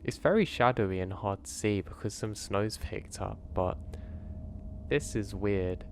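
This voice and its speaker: A man with a lowish voice, his throat slightly strained, reads first-person horror stories in a calm, measured way. He speaks as a steady host over a faint bed of background noise. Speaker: horror story narrator. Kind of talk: scripted first-person story narration.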